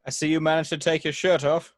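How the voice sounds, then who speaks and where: A man's voice: a little high, level tone, quick pace, dry recording, clean studio sound, lightly acted. A man playing a haughty, disdainful classically trained actor in a table read, with a theatrical, pompous manner.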